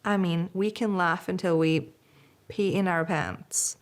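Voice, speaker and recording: A woman speaks about a close friend with emphatic warmth. Her voice sits a little low. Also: slow, noisy background. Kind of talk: documentary interview.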